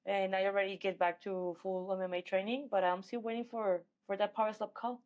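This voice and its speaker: Woman, Mexican accent, mid-range pitch, fighter as interview guest, casual storytelling. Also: level tone, a little fast, darkish tone. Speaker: guest, professional MMA fighter.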